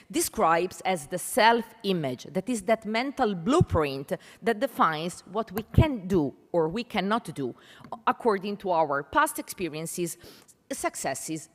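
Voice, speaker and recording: Animated Italian-accented woman moderating on stage. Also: mid-range voice. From Italy. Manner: emphatic, deliberate, poses questions to listeners. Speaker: panel moderator and educator. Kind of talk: conference stage presentation addressing an audience. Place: large indoor conference hall. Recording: reverberant hall sound.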